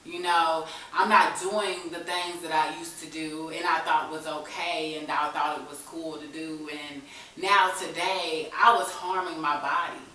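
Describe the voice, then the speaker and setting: A woman's voice: low-pitched, fairly even intonation, measured pace, sharp tone. A woman in her thirties sharing a candid personal story in an informal, conversational way as an interview guest. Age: 30s. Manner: informal vernacular speech with frequent "you know". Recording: echoey, distant mic, faint hiss.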